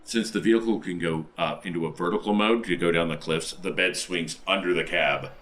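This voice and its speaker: A man's voice: low-pitched, fairly even intonation, a little fast, a bit bright, slight background noise. A man playing a tabletop game, talking casually through what his character does.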